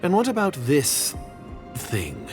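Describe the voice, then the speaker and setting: A man's voice: a little high, huge pitch swings, slow, exaggerated voice. An actor playing a shrewd, suspicious covert agent in a video game, formal, cool and condescending in the way he talks. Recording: music behind the voice. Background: game music.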